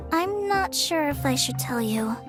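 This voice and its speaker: A polite young companion from a video game. The voice is high and gentle, with a hesitant manner. Music plays in the background. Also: female voice, expressive, slow pace. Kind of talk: video game cutscene acting.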